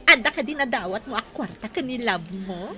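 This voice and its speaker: A woman's voice: a little high, lively intonation, a little fast, music in the background, natural voice. A woman playing a playful, conniving friend in an audio drama. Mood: playful, scheming.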